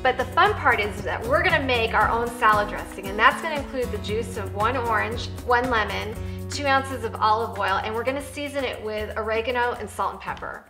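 A woman's voice: fairly high pitch, fairly quick. American woman hosting a cooking lesson, music behind. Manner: step-by-step, conversational explaining. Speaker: cooking show host. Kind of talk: instructional cooking demonstration. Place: home kitchen, indoors. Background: background music.

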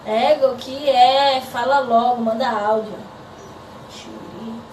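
A young woman talks her friend through a makeup routine in a chatty, instructing way, her voice a little high. She sits back from a camera on a table, and the small room gives her words a roomy echo. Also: steady tone, measured pace, darkish tone, slight background noise. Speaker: makeup teacher. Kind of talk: casual makeup tutorial chat. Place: small echoey room indoors. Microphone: camera at a distance on a table. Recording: roomy, distant phone camera.

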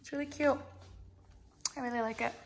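Chatty young woman with a gravelly edge. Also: high voice, fairly even intonation, brisk, slight background noise. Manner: chatty, upbeat, lots of "like" and "um". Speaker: vlogger. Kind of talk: casual vlog chat. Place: home kitchen, indoors.

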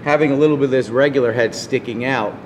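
A middle-aged man, a farrier clinician, explaining horseshoe nails in a casual, halting way full of fillers, filmed at a convention booth. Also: medium pitch, slight background noise. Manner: explains with many fillers and restarts while handling shoes and nails. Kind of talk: informal product explanation. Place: convention booth with curtains, indoors. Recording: reverberant hall with background ambience.

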